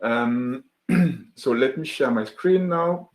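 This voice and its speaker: A man from France with a French accent, hosting a live webinar as founder of a software tool, greeting viewers in the chat and demoing his workflow. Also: mid-range voice, slow pace. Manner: friendly, greets chat viewers by name, many fillers.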